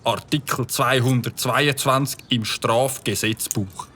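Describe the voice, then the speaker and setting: An actor playing a stern village police officer, speaking formally with a Swiss German accent. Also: male voice, mid-range voice, steady tone, measured pace, bright, forceful tone, a little hiss or hum. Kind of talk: audio drama acting.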